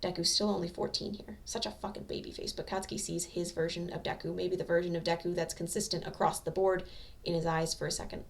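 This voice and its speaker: A woman reading a story aloud as an amateur narrator, with expressive scripted delivery. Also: lowish voice, fast talker, creaky, far from the mic.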